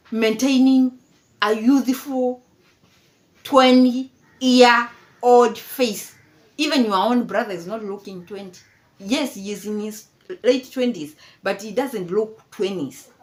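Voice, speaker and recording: A woman in her fifties from Kenya giving casual, mocking commentary, laughing often and repeating herself. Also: medium pitch. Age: 50s. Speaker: commentary vlogger.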